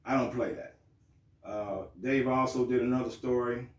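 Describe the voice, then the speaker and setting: A man's voice: mid-range voice, steady tone, normal speed, echoey room, far from the mic. A man hosting his own show in a loose, joking, profane way, with frequent restarts. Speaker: host. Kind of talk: casual show talk.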